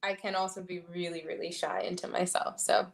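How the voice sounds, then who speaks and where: A young woman from Florida and the Dominican Republic, a model and singer, chatting casually as an interview guest, laughing often and pausing on "um". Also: on the low side, level tone, vocal fry. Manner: laughing often, hesitant with many ums.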